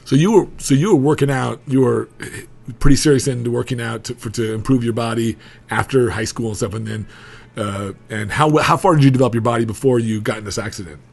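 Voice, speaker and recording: Gravelly, husky-voiced man in his forties. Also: mid-range voice, fairly quick, darkish tone. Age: 40s. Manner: rambling, restarts sentences, laughs along. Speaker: diet coach and interview host. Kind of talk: casual interview.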